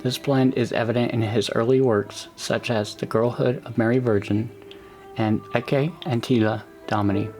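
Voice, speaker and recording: A man narrating a scripted documentary in a measured, even delivery, with music behind the voice. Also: mid-range voice.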